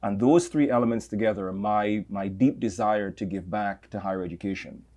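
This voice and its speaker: A middle-aged man with a Trinidadian accent, speaking as an interview guest in a measured, reflective way, often repeating words as he gathers his thoughts. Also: on the low side, warm tone. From Trinidad and Tobago. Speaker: campus leader, guest. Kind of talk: interview conversation.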